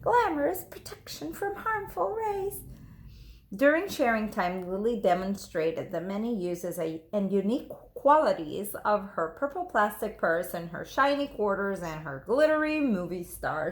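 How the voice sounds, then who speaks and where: A woman teacher reading a children's story aloud, expressive and emphatic, speaking to young listeners. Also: medium pitch, a little slow, few pauses. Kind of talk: animated storybook narration. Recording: echoey room.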